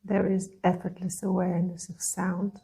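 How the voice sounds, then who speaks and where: A woman leading a guided meditation, speaking in a soothing, gentle way with long pauses between phrases. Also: lowish voice, monotone, gravelly, slightly rough. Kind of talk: guided meditation, calm instruction.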